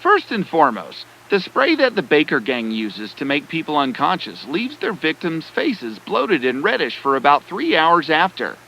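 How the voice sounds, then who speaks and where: A man playing a confident, sharp young detective in an animated story, speaking deliberately and with authority. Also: fairly high pitch, a little hiss or hum, exaggerated voice, poor audio. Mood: confident explaining. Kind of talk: dramatic cartoon story acting. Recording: background music under the voice.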